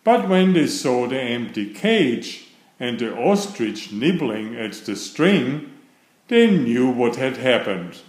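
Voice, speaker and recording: A man reading a children's book aloud as narrator, with expressive, deliberate phrasing. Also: medium pitch. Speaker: storybook reader. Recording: roomy, echoing sound.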